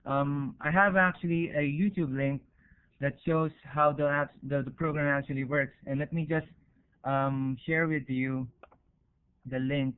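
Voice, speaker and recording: A man with a Filipino accent and a slightly high voice presents research in an informal, explanatory way. He speaks hesitantly and pauses to check that listeners follow. The sound is thin and narrow, as over an internet call. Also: fairly even intonation, clean tone. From Philippines.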